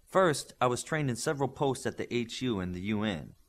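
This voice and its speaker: A man's voice: medium pitch, expressive, fairly quick, close to an everyday voice. A man voicing a poised young female teacher in audiobook dialogue, formal, precise and self-assured.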